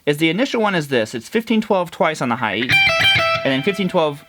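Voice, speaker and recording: A man with a slightly high, nasal and congested voice gives a relaxed guitar lesson. He talks through fret numbers and picking as he plays, with loud guitar over his talk. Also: a little fast. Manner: explains while playing, counts fret numbers. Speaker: guitar teacher.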